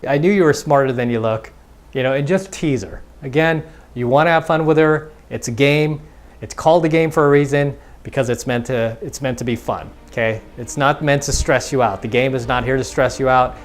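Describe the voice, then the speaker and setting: A man's voice: medium pitch, brisk. A man coaching as a dating instructor, talking casually, repeating his points and acting out snippets of dialogue. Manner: casual, repetitive, acts out quoted dialogue. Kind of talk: instructional monologue.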